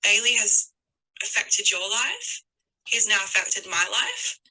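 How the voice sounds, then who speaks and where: A woman in her twenties talking unscripted and from the heart on a call, earnest and effusive, with halting, emphatic pauses. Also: mid-range voice, sing-song, bright, forceful tone, poor audio. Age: 20s. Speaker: guest on a call.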